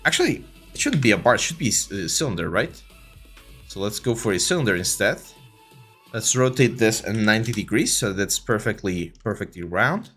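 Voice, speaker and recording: A man's voice: lowish voice, brisk. Mexican-accented guy in his 30s teaching 3d art on stream, lots of uh and like, faint music behind. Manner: relaxed, filler-heavy, many restarts. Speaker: 3D art instructor and livestream host. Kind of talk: casual livestream tutorial, reading chat.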